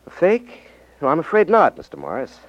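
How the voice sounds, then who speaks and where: A confident detective from a 1950s radio mystery. He is firm, wry and very much in charge, with animated inflection. The sound is close and dry, with the dullness of an old broadcast. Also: on the high side, fairly quick, no room sound. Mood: composed. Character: confident, cool-headed male detective-magician. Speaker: radio drama actor, lead. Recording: muffled 1950s radio recording.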